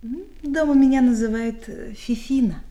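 An actress playing a naive, gentle, candid young village girl in a theatrical radio play. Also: fairly high pitch, moderate pace, lightly acted. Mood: shy, candid.

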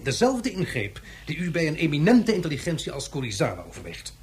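Grave, emphatic, expressive male scientist. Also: fairly high pitch, a little hiss or hum. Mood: firm, disapproving. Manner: measured, emphatic, formal. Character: grave, principled male scientist warning his superior. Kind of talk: radio drama acting.

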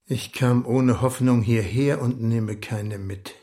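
An actor playing a humble, dignified working-class uncle in a radio drama, speaking in a grave, earnest, deliberate way. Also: male voice, mid-range voice, fairly even intonation, dark tone, lightly acted. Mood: wounded, bitter.

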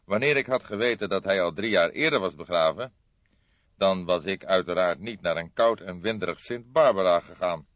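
Man playing a low-voiced courteous old detective. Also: fairly bright tone, no room sound, muffled recording. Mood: probing, polite. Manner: measured, deliberate, formally polite. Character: grey-haired old male detective, courteous and shrewd. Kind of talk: audiobook character voice.

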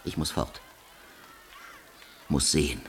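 An actor playing a tormented, jealous soldier in a radio drama, halting and obsessively repeating himself. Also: male voice, rumbling low, steady tone, breathy edge, exaggerated voice. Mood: haunted, restless. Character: tormented jealous young soldier, haunted, feverish, brooding. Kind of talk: German radio drama acting. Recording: loud music behind the voice.

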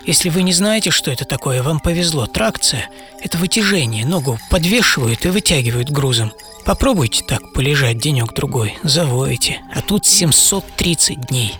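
A man's voice: fairly high pitch, lively intonation, normal speed, fairly bright tone. Dramatic bedridden boy over music. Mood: weary, wry. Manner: theatrical, short clipped phrases. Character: bedridden eleven-year-old boy, dreamy, defiant, thrilled by racing. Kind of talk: dramatic first-person monologue in radio play.

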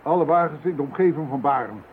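An actor playing a commanding, cautious police officer in a Dutch radio drama, giving orders in an authoritative manner. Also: male voice, a little high, lively intonation, close to an everyday voice. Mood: stern, commanding. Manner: brisk, authoritative. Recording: muffled narrow-band phone-like audio, noisy.

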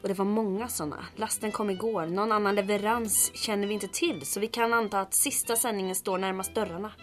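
An actress plays a bold woman captain leading saboteurs in an old-time radio drama. She is brisk and commanding, with a dry, teasing wit and a medium-pitched voice. Music plays behind her. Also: steady tone, natural voice. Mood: dry, resolute. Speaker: lead character, captain.

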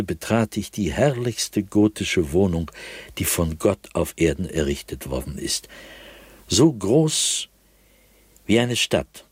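A man playing an anguished, fearful, solitary diarist in a radio drama, speaking in a husky, brooding voice with sudden swells and hushed drops. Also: lowish voice, no echo. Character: anguished, fearful, solitary male diarist haunted by nightly dread. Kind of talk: German radio drama monologue, diary read dramatically.

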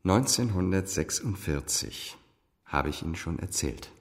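An actor playing a wealthy, guarded businessman in a radio drama, formal and quick to take offence. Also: low voice, animated. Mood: guarded, businesslike. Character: wealthy businessman father, guarded, indignant when questioned. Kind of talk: German radio drama acting. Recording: reverberant room.

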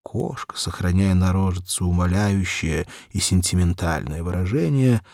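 A man narrating a literary story for children in a theatrical, measured way. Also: low voice, darkish tone, no room sound, studio quality.

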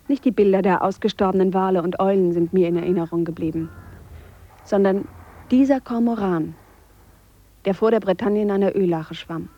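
An actress playing an earnest, reflective Swiss woman biologist who speaks a measured monologue in a radio play. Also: mid-range voice, warm tone, muffled recording, lightly acted. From Switzerland. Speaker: biologist character. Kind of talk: radio drama monologue, spoken letter.

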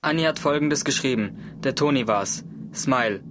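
A man's voice: medium pitch, moderate pace, close to an everyday voice. An actor playing a casual, unsure young man who reads out online forum messages in an offhand way. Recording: noisy background with clicks.

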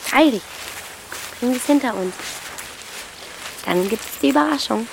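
A woman's voice: a little high, lively intonation, moderate pace, gravelly, noisy background. A woman playing a bold, defiant thirteen-year-old village girl, blunt and impatient, in a radio drama. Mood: coaxing, impatient.

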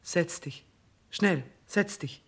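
A woman's voice: lowish voice, takes it slowly. An actress playing a cold, imperious queen in a 1960s radio play, stern, commanding and scornful.

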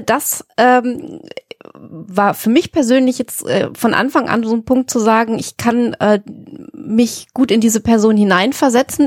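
A woman's voice: medium pitch, a little mellow, no echo, studio quality. A woman co-hosting a podcast in conversational discussion. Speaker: podcast co-host.

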